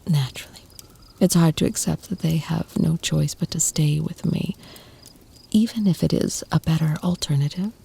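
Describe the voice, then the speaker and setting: A woman playing an ancient, tender, protective vampire lover in an ASMR roleplay, speaking in a soft, breathy, soothing whisper close to the mic. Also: deep voice, fairly even intonation, heavily acted. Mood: tender, confiding. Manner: slow, soothing, intimate murmur with lingering pauses.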